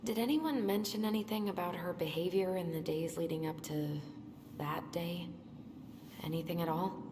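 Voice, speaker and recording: An actress playing an earnest, subdued young woman in a video game, soft-spoken and conciliatory. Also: fairly low pitch, normal speed, background noise, lightly acted. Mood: careful, concerned. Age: young adult. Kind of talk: naturalistic video game acting.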